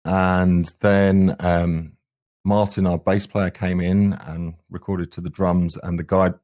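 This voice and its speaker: A man's voice: low-pitched, a little mellow. A man answering interview questions conversationally as a band's singer and guitarist. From England. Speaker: band frontman, singer and guitarist.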